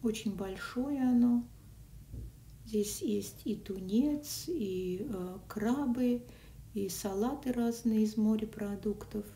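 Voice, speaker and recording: A woman narrates a casual food and travel vlog, her mellow voice now and then dropping to a whisper. She is caught on a phone a little way off, with a roomy echo and faint background noise. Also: a little high, slow. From Russian-speaking country. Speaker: vlogger. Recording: echoey, from a distance, faint noise.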